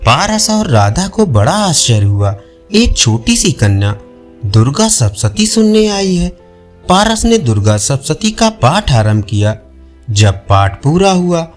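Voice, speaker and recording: A male storyteller reading a scripted folk tale. His delivery is unhurried, with a lively rise and fall in pitch. Music plays behind the narration.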